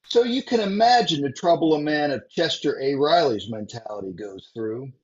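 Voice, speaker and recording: This is a dramatic old-time radio announcer, as a man plays him, declaiming with a swooping pitch. His voice is husky and a little high, and the recording sounds slightly muffled and lo-fi. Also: animated, moderate pace. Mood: grandiose.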